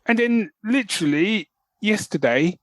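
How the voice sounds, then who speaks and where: A man hosting a podcast, chatting and ranting casually in an animated, storytelling way. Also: high-pitched, a little slow, crowd noise. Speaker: podcast host.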